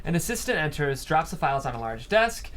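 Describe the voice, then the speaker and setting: Higher-voiced man narrating a screenplay. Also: very animated, fairly quick, fairly bright tone, a little hiss or hum. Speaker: host and script narrator. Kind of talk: reading screenplay stage directions aloud. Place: studio.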